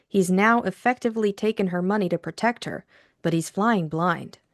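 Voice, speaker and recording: A woman's voice: medium pitch, normal speed, dry recording, studio quality. A woman reading a scripted introduction and novel narration as co-host and narrator.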